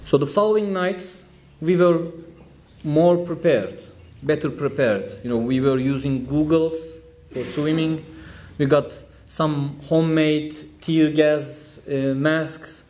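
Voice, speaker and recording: A man from Turkey with a Turkish accent, giving a speech at an event, telling a story with light humor and many pauses. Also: a little high, steady tone, darkish tone. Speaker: trade union official giving a talk.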